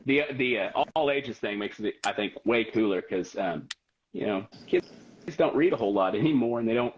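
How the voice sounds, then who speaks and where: A man in his forties chatting casually in a halting, hedging way. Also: on the low side, animated, highs cut off, a little hiss or hum. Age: 40s. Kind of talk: casual chat.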